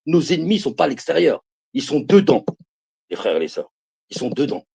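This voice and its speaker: Man, 50s, husky, lively pitch; emphatic live-stream preacher who repeats himself. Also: a little fast. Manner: emphatic, repeats phrases, calls listeners brothers and sisters. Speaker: live streamer giving religious advice.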